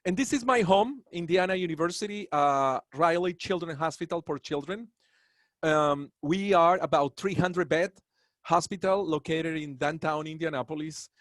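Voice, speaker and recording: Man, Colombian Spanish accent, somewhat high voice, earnest lecturer, webinar talk. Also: no room sound. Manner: earnest, proud, rambling asides. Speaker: dental professor.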